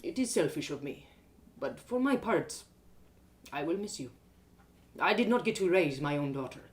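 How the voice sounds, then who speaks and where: A wise old village man from a storybook, acted out by a woman, pitched low and measured. He is gentle and fatherly, and he takes his time with each philosophical thought. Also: animated. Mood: tender, wistful.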